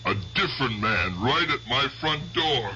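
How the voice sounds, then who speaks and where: An actor playing a tough aging gangster in a radio drama, gruff and evasive, with slangy, blustering speech. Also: male voice, mid-range voice, expressive, unhurried, pushed and bright, lo-fi sound. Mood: haunted, uneasy. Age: older. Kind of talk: 1970s radio drama acting. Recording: noisy old radio broadcast recording.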